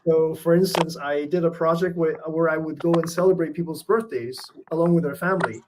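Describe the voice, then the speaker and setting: A man talks thoughtfully about his work, pausing often and circling back to rephrase. His voice sits quite high for a man. A faint hiss runs under the recording. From Canada. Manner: thoughtful, reflective, hesitant with fillers and restarts. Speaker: artist interviewee. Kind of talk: conversational interview.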